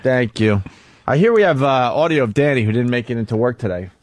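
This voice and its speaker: A man's voice: mid-range voice, animated, fast talker. A man hosting casual talk radio and handling callers in a blunt way. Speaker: radio show host, takes callers.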